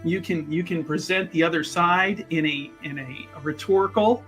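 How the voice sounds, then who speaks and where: A man's voice: on the high side, normal speed, fairly bright tone, faint music behind, close to an everyday voice. A man playing an earnest, wordy scholar in a tabletop game, stammering eagerly as he argues his case. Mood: earnest, persuasive. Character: earnest rambling scholar, a man fond of spirits.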